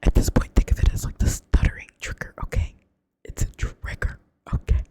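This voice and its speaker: A woman with a Southern US accent reading a novel aloud in a soft whisper, now and then stumbling over words and correcting herself. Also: brisk. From Southern United States. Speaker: reader.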